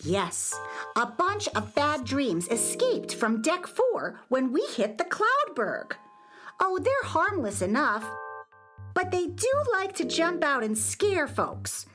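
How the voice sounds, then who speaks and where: A woman's voice: high voice, sing-song, slow, music in the background, full character voice. A woman playing a plucky, cheerful, confident ship's first mate in a children's radio play. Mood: reassuring.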